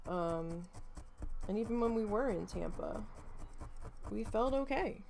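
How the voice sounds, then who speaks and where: A woman's voice: mid-range voice, lively intonation, slow pace, creaky, darkish tone, a little hiss or hum. A woman vlogger chatting casually while she crafts, rambling with lots of fillers and swearing freely. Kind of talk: casual chat while needle felting.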